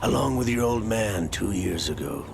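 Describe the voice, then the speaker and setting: An actor playing a smug, calculating villain in a video game, delivering a mocking, measured monologue in a gravelly voice. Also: male voice, on the low side, animated, background noise. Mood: gloating, menacing. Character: smug, calculating male villain, scheming double agent. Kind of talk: dramatic video game cutscene acting. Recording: loud music behind the voice.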